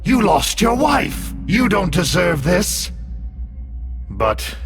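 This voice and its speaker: An actor playing an obsessed, menacing middle-aged tech mastermind in a video game cutscene, theatrical and emphatic. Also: male voice, sits high, big pitch swings, takes it slowly, shouting, heavily acted. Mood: impassioned. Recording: music under the voice. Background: music.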